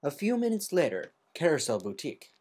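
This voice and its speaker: A woman's voice: deep voice, very animated, brisk, a little mellow, husky. A woman narrating fan fiction as an amateur, in a semi-dramatic storytelling style.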